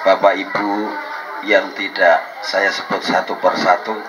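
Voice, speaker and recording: Deep flat-voiced man giving formal speech. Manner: measured, formal greetings with light joking. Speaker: speaker at a community gathering. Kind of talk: formal speech with religious opening. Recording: noisy event recording.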